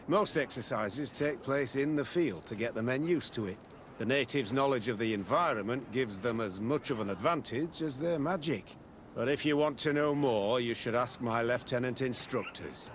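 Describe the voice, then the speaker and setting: An actor playing a curt, evasive military captain who runs a training camp in a video game. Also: male voice, mid-range voice, animated, moderate pace, a little hiss or hum, natural voice. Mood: guarded. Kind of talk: video game cutscene acting.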